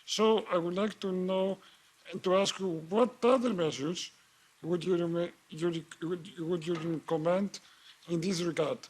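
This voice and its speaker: A high-pitched man speaking as a member of parliament in a committee hearing. He delivers a formal, measured statement, pausing on fillers and restarting phrases as he goes. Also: fairly even intonation, husky. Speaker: member of parliament asking questions.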